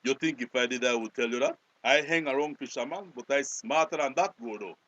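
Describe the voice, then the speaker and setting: An actor playing a guarded, scornful small-town dealer in a screen drama, blunt and with a Belizean accent. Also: male voice, medium pitch, brisk, fairly bright tone, no room sound, close to an everyday voice. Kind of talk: acted screen drama dialogue.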